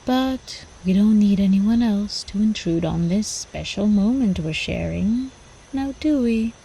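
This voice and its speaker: A woman voicing an ancient, seductive vampire countess in an intimate ASMR roleplay, teasing and cooing with quiet menace, often slipping into whispers. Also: mid-range voice, animated, unhurried, creaky, slight background noise, poor audio.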